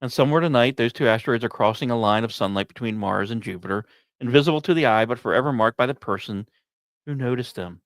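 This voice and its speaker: A man hosting a podcast, reading scripted science narration with casual asides and dramatic, storyteller-like phrasing broken by deliberate pauses. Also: mid-range voice, dry recording. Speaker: podcast host.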